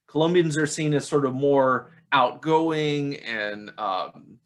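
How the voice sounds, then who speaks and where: Measured man explaining his research. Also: mid-range voice. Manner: measured, explanatory, with frequent fillers. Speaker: author interviewed about his book. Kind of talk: academic interview answer.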